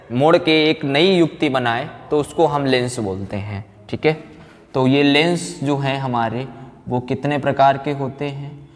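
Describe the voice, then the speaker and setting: A man teaching a physics class like a lecturer, asking questions and answering them himself. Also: on the high side. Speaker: science teacher. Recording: very reverberant room, background noise.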